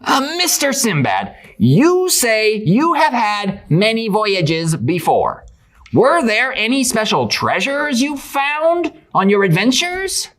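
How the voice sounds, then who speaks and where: Woman playing plucky young lad, puppet show hero, very high voice, lively swoops, earnest, polite. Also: takes it slowly, long pauses. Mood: polite, curious. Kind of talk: theatrical puppet show performance.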